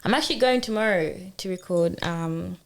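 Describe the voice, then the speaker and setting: A woman's voice: fairly low pitch, normal speed, creaky. Young woman, chatting casually. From Kenya, moved to Australia young. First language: Swahili. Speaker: music artist guest. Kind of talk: casual chat.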